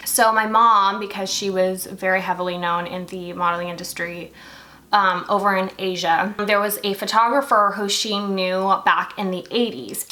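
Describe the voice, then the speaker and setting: A woman's voice: mid-range voice, bright, forceful tone. A young woman from Singapore chatting casually while doing her makeup, filling her talk with lots of "like". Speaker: beauty vlogger.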